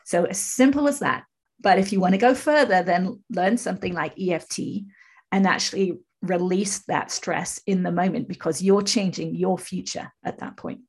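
A woman in her fifties with a husky, creaky voice, earnestly telling her personal story as an interview guest. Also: lowish voice, moderate pace. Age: 50s. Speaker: podcast guest, spiritual teacher.

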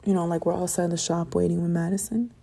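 A young woman hosting a solo podcast late at night, chatting casually and rambling about staying positive, her voice getting raspy. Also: fairly low pitch, level tone, fast talker, dark tone. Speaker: podcast host.

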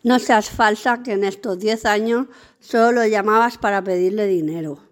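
Woman playing a sharp-tongued, complaining Spanish sister. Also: medium pitch, moderate pace, no room sound, clean studio sound, natural voice. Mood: accusing. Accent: Spain. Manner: accusing, complaining. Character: sharp-tongued, money-minded sister in rich family. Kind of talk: amateur radio soap acting.

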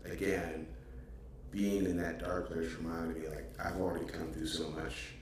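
Man, 30s, podcast co-host, earnest, reflective, very low voice, faint background noise. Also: little pitch movement, normal speed, recorded from a distance. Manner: earnest, reflective, uses filler words. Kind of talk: casual reflective chat. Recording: echoey, off-mic with faint noise.

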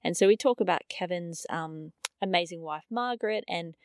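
Brief: woman podcaster, slightly low voice, bit raspy and nasal, earnest and chatty, dry close mic. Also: normal speed, a little mellow, no echo. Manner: earnest, chatty with frequent fillers. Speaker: podcast host. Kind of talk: podcast episode introduction.

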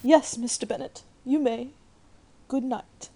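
A woman's voice: high voice, steady tone, unhurried. A woman playing a fretful, tearful middle-aged wife and doting mother in a melodramatic radio play. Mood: calmer, resigned. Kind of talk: 1940s radio acting.

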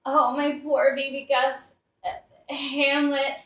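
A woman's voice: sits high, flat delivery, takes it slowly, fairly bright tone, distant mic. A woman playing a poisoned, melodramatic queen and doting mother, wailing as she dies in a comedic audio play. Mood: melodramatic, alarmed. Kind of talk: modern-language comedic audio play acting. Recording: echoey room, off the mic.

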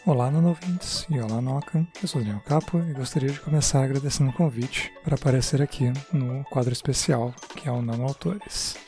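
A man's voice: medium pitch, brisk, few pauses, mellow, soft background music. A man with a Brazilian accent giving a prepared, explanatory monologue as a podcast guest. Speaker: podcast guest, game creator.

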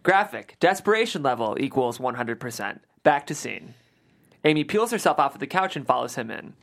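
A low-voiced woman reads stage directions at a table read. Her pitch moves widely as she emphasizes each screen cue and caption. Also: big pitch swings, a little fast, vocal fry. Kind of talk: script read aloud at a table read.

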